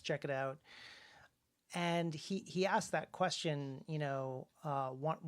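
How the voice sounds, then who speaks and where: Man chatting in halting, repetitive phrases. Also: a little high, darkish tone. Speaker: podcast co-host and author. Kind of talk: casual podcast discussion.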